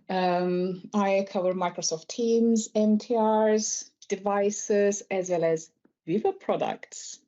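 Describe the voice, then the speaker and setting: A woman from Turkey, guest co-host of a podcast, introducing herself in a friendly, brisk way. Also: medium pitch, warm tone, highs cut off. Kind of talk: casual self-introduction.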